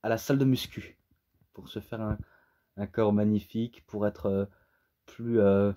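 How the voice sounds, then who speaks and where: A man who is a native French speaker, giving a casual, unscripted review in a halting way, often searching for words. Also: lowish voice, fairly even intonation, warm tone. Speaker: amateur reviewer.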